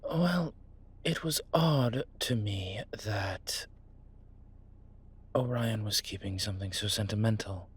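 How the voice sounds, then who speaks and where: Suave male vampire for audio roleplay, played by a man: husky, breathy, measured, sentimental with dry wit. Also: on the low side, exaggerated voice. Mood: thoughtful, hopeful. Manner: measured, wry, formal wording. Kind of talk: scripted intimate audio roleplay, one side of a conversation.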